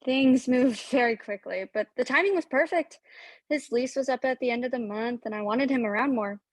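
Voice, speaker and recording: A high-pitched young woman played by an actress, recorded close and dry. She is a wronged girlfriend in a radio drama, candid and ready to confront. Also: a little fast, no room sound, lightly acted. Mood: reflective, regretful. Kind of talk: radio play interview and dialogue.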